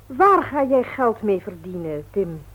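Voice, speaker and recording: A woman's voice: mid-range voice, sing-song, a little slow, breathy edge. A middle-aged woman playing a strict, principled mother in a radio play, firm and admonishing. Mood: concerned. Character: strict, principled, worried mother of grown children. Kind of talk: vintage Dutch radio play acting. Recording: old narrow-band radio recording.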